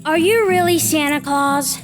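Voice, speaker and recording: A woman's voice: high voice, measured pace, music in the background. A woman playing a frank, eager little child in an old-time radio drama.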